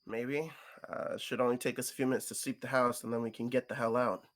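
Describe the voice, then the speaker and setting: A man voicing a cautious, protective young male friend in a visual novel, hesitant and low-key. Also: level tone, brisk, lightly acted. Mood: tense, resolved. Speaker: co-reader voicing a character on stream.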